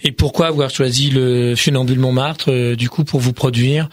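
A man's voice: mid-range voice, steady tone, normal speed, dry recording. A man hosting a radio interview in a studio, chatting and hesitating often. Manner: conversational, frequent hesitations. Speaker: radio host.

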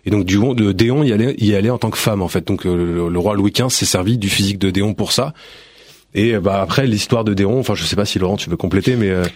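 A man from Burgundy, a playwright and director, talking fast as a radio guest with many restarts. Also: lowish voice, dry recording, clean studio sound. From Burgundy, France. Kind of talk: radio interview. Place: radio studio.

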